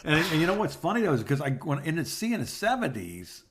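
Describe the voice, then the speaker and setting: A man in his sixties from South Florida with an American accent, co-hosting a podcast and rambling through personal anecdotes in a halting, circling way. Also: on the high side, wildly swooping pitch, background noise. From South Florida, United States. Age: 60s. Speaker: podcast co-host. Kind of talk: casual phone chat, rambling personal anecdotes.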